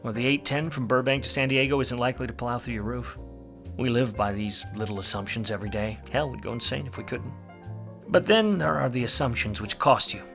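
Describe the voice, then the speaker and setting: An actor playing a world-weary, wisecracking private detective in a film noir radio play, wry and slangy. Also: male voice, medium pitch, fairly quick, vocal fry, a bit bright, soft background music. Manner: hard-boiled, wry, slangy.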